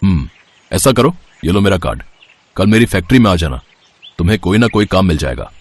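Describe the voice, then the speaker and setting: A man voicing a wealthy, trusting factory owner, measured and reassuring, in a moral story. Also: low-pitched, big pitch swings, a little hiss or hum. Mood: kindly, reassuring. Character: kindly, trusting wealthy male factory owner, calm boss. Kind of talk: Hindi moral story voice acting.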